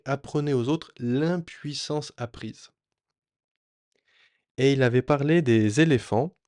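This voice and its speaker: A man sharing a personal story in a reflective, earnest way, often pausing and restarting his sentences. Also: mid-range voice, a little mellow, no echo, clean studio sound. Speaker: vlogger.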